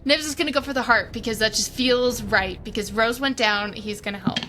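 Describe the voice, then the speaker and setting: A woman's voice: a little high, fairly quick. A woman playing a tabletop game, chatting casually and thinking aloud. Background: clearly audible background music.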